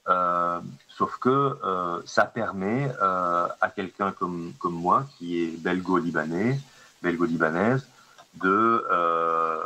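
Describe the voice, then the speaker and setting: Deep-voiced man, hesitant. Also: pushed and bright, low-quality recording. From Lebanon. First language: Arabic. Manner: hesitant, many fillers and restarts. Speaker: artist, conference guest. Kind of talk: answering questions at a conference discussion.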